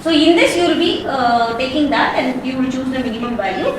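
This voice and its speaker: A woman's voice: sits high, lively intonation, fairly quick. A woman assistant professor with an Indian accent, explaining step by step in a hesitant, repetitive way, as in a classroom. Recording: very echoey room, distant mic, noise.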